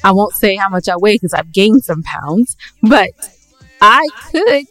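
A woman's voice: medium pitch, animated, unhurried, fairly bright tone, dry recording, soft background music, rough recording. A woman co-hosting a podcast, arguing her points firmly in casual conversation. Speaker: podcast co-host. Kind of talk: casual debate chat.

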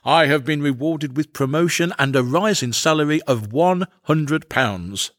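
A man's voice: on the high side, measured pace, a bit bright, no echo, clean studio sound. A man voicing an earnest, pompous middle-class father with a formal, emphatic manner, in a comic story. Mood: proud. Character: earnest, proper middle-class father, easily astonished diarist.

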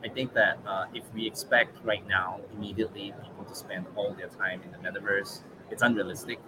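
A man from Malaysia with a Malaysian English accent, a guest in a casual interview, relaxed and thinking aloud with many fillers. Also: lowish voice, a little fast, noisy background. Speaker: guest, regional manager.

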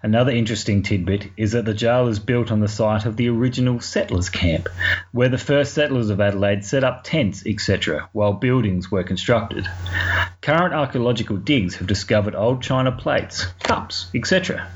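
A man's voice: lowish voice, brisk. A middle-aged man from Australia with an Australian accent, narrating a scripted recap as a podcast correspondent. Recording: dull lo-fi audio with noise.

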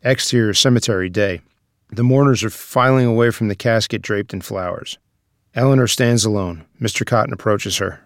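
Lowish-voiced man reading stage directions. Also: normal speed, no room sound, clean studio sound. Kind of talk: scripted narration.

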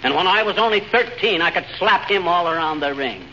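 An actor playing a cocky, blustering American husband who wisecracks in brash, punchy slang in a 1940s radio comedy. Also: male voice, high voice, animated, fairly quick. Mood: boastful. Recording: muffled, very noisy 1940 radio broadcast.

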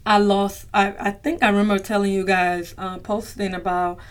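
A young woman talks to her listeners in a relaxed solo podcast. She is chatty and heartfelt, uses many fillers and calls her listeners y'all, and her voice is slightly strained after she recently lost it. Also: medium pitch, normal speed, clear, resonant tone. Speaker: podcast host. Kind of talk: casual solo podcast talk.

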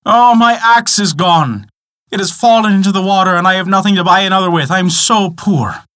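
A man voicing a poor, honest woodcutter in a storybook tale, melodramatic, plaintive and excitable. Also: high voice, brisk, pitched above the performer's own voice. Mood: wailing despair. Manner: wailing, melodramatic.

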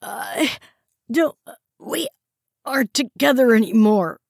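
A man's voice: very high-pitched, lively intonation, slow pace, vocal fry, fairly bright tone, dry recording, studio quality, pitched above the performer's own voice, exaggerated voice. Woman voicing a panicked stammering captive man. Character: terrified captive young man blurting secrets.

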